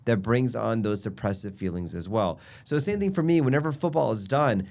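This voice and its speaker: A man's voice: lowish voice, animated, fairly quick, creaky, darkish tone. A man with a stuffy, nasal voice from allergies, a doctor explaining health tips in an upbeat casual chat. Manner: upbeat, explanatory, lists points. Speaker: physician guest.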